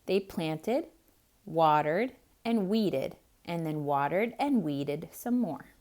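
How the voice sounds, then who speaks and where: A woman reading a picture book aloud to children, pausing to point things out and ask them questions. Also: on the low side. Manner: slow, expressive, asks children questions. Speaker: children's storyteller.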